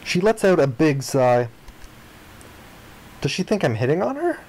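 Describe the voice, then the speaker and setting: A man reading the narration and dialogue of a visual novel aloud in an expressive style. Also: on the high side, measured pace.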